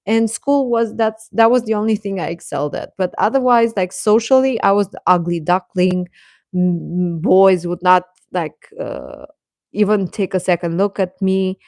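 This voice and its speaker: A woman's voice: mid-range voice, moderate pace, a little mellow, dry recording, clean studio sound. A woman chatting casually on a livestream, giving earnest, encouraging advice and repeating phrases for emphasis in a reassuring way. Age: adult. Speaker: live streamer giving advice to teenage viewers.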